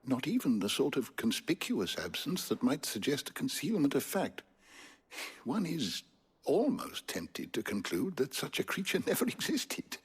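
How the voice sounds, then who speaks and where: A man's voice: mid-range voice, huge pitch swings, normal speed, slightly rough, exaggerated voice. An actor playing a bookish, kindly elderly male scholar in a video game, emotional and wordy, with florid, theatrical phrasing. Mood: grave, thoughtful.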